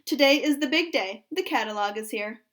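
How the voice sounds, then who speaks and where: A woman voicing a calm, patient storybook father, measured and gently teasing as he explains things. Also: a little high. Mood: announcing.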